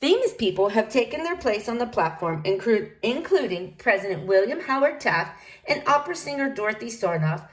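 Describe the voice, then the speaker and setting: A woman reading a children's book aloud, narrating in an expressive storytelling manner. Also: a little high, fairly quick. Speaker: storybook reader.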